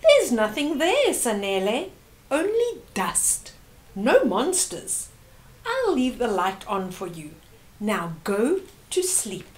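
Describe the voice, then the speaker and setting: Woman acting a reassuring storybook mother, gentle, unhurried, slightly high voice, calming a child. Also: heavily acted. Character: calm, reassuring mother soothing her frightened child.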